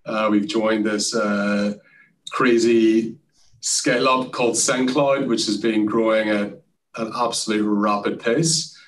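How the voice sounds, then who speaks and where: An Irishman speaking as a guest in a casual interview, relaxed and chatty with frequent fillers. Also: lowish voice, slow pace, distant mic, slight background noise, low-quality recording. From Ireland. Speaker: guest, sales executive.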